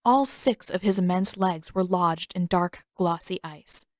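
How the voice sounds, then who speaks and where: A woman reading scripted fiction aloud as an expressive story narrator. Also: fairly low pitch, measured pace, no echo, clean studio sound.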